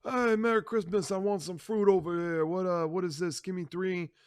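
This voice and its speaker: A man's voice: high-pitched, fairly even intonation, moderate pace, a little mellow, no echo, clean studio sound, pitched above the performer's own voice, natural voice. A man voicing an aging Italian-American crime boss who slips into Italian words. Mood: easygoing.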